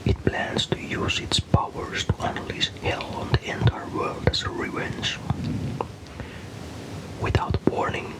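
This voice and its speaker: A man from Finland narrates a story in a soft, breathy, unvoiced ASMR whisper, calm, careful and unhurried. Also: rough recording.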